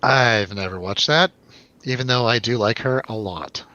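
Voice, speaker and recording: A man's voice: medium pitch, moderate pace, sharp tone, dry recording, dull audio. A man in casual, rambling conversation about films, telling stories animatedly and swearing freely. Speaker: film review show host. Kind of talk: casual chat, film review over drinks.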